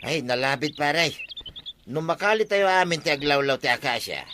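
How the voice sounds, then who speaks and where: An actor with an Ilocano Filipino accent playing an excitable, squabbling sidekick in a radio comedy drama. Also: male voice, on the high side, moderate pace, a little hiss or hum. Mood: eager.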